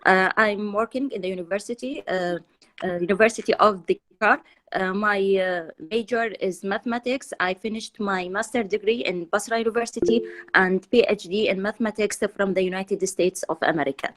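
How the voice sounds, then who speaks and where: Iraqi woman, mid-pitched, speaking halting English. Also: fairly bright tone. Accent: Iraqi Arabic. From Iraq. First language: Arabic. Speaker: student, university lecturer. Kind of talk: halting self-introduction in a second language. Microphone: video call.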